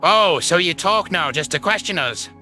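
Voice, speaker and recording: A man's voice: high voice, expressive, moderate pace, sharp tone, faint music behind, voice pushed up, full character voice. A man voicing a stern, contemptuous avian alien security guard in a sci-fi audio drama, bossy and disdainful. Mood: scornful.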